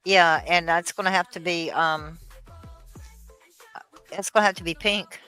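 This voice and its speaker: A woman co-hosting a live online reading, chatting informally and briskly directing the others. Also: on the low side, fairly even intonation, sharp tone, clean tone, soft background music. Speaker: co-host organizing a script reading. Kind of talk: casual chat. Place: live streaming call.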